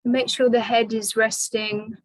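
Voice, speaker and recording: A woman teaching restorative yoga, giving calm, soothing guidance with long pauses between phrases. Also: a little high, rough recording. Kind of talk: guided restorative yoga instruction.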